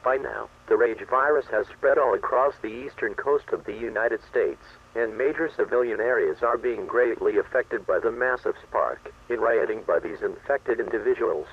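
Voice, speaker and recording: A man playing a grave, authoritative emergency alert announcer, reading formal scripted instructions in a husky voice over dull broadcast audio. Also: mid-range voice, moderate pace, few pauses. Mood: grave, urgent.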